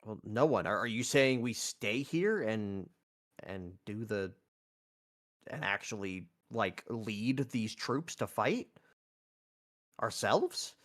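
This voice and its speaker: A man's voice: fairly low pitch, lively intonation, slow, fairly bright tone. A man playing a tabletop roleplay game, talking casually and hesitantly, with some swearing. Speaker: player.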